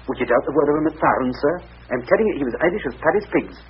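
An actor playing a canny, evasive Scottish junk shop keeper with a husky voice who only talks for a bribe, in a vintage radio drama. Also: male voice, a little high, lively intonation, racing, natural voice. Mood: sly. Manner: sly and grasping, bargaining for bribes. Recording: muffled old radio recording.

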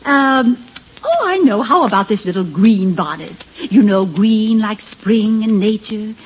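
A woman's voice: mid-range voice, lively intonation, unhurried, slightly rough. A woman playing a fussily polite saleswoman with fraying patience, in an old-time radio comedy. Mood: forced cheer. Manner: fussy, exaggerated politeness. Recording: dull vintage radio recording, audience, noise.

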